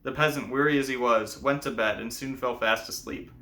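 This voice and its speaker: A man's voice: mid-range voice, steady tone, brisk, bright, forceful tone. A man speaking as a storyteller and host, presenting folk tales in a narrated, explanatory style. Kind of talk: scripted fairy tale narration, then explanatory commentary.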